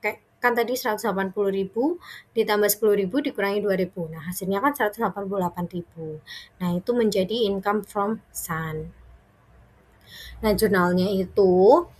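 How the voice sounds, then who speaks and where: A woman's voice: mid-range voice, brisk, breathy edge, low-quality recording. A young adult woman giving an informal student presentation, explaining step by step in a conversational way.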